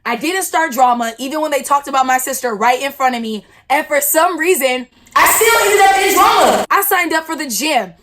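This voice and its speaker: A woman in her twenties, a YouTuber telling a storytime with a loud, blunt, slangy delivery. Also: sits high, animated, fairly quick, hardly any pauses. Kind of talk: storytime vlog.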